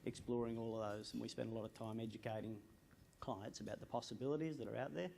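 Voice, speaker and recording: A man's voice: medium pitch, steady tone, fast talker, vocal fry, mellow, a little hiss or hum. A man on an energy panel, explaining his points steadily. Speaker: panelist, energy industry adviser. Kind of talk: panel answer. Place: conference room.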